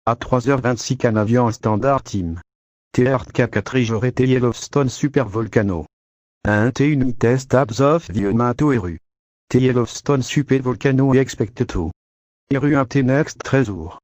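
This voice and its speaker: A man's voice: mid-range voice, normal speed, a little mellow, no echo. A synthetic-sounding man reading a scripted emergency alert with garbled pronunciation, in a lo-fi recording. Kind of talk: scripted emergency broadcast narration. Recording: dull lo-fi sound.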